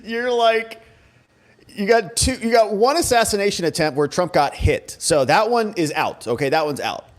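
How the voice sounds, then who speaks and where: Give a man with a somewhat high-pitched voice, hosting a commentary show. He talks casually and colloquially, with a sarcastic edge as he reacts. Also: moderate pace. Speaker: host commentator. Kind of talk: casual commentary reacting to press conference clips.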